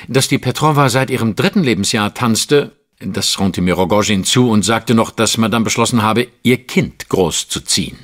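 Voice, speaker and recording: A refined gentleman detective recounts his case in a medium-pitched, very expressive voice. He is precise, formal and drily witty, in audio drama style, with soft music underneath. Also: slightly rough. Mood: dry, guarded. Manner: dry, formal, precise, wry. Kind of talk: first-person audio drama narration.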